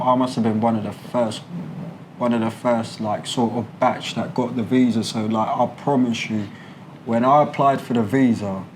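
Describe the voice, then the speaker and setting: A man with a London accent chats candidly as an interviewee. His talk is colloquial and slangy, and he likes to explain how things work. His pitch sits in the middle, and a little noise and room ambience sit behind him. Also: darkish tone. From London, England. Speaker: interviewee, British expat. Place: a condo apartment.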